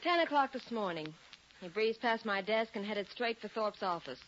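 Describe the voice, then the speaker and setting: A woman's voice: medium pitch, expressive, moderate pace, fairly bright tone, natural voice. An actress playing a blunt, wisecracking young female secretary in a 1940s radio drama. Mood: tart. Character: sassy, sharp-tongued young secretary. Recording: dull, noisy 1940s radio recording.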